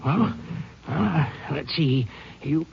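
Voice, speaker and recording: A very raspy, somewhat high male voice as a sore, grumbling night watchman. He talks in a folksy way, stammering and repeating himself. It sounds like a dull 1950 radio drama recording. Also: animated, drawn-out words, full character voice. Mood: flustered, stammering. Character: plain-spoken, grumbling small-town night watchman, slugged and sore. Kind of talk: 1950s radio drama acting.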